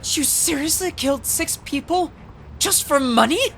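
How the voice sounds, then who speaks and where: A woman playing an earnest, excitable young police officer, righteous and easily exasperated, in an anime fan dub. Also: high-pitched, measured pace, a little hiss or hum, full character voice. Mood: stern. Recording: voice over background music.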